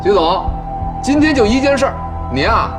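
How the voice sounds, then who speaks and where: Blustering drama extortioner, taunting, extremely animated pitch, music behind. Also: male voice, moderate pace. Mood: taunting, pushy. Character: pushy, blustering man demanding money.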